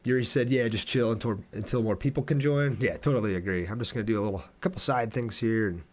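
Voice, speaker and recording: A man's voice: on the low side, fast talker, darkish tone. Chatty, laid-back male game streamer. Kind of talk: casual livestream chat over gameplay.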